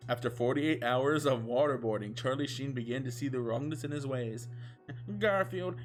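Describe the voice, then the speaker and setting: Man, medium pitch, comedic narration, casual amused chat, music in the background. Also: fairly even intonation, fairly quick. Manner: amused, profane. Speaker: streamer reading fan fiction.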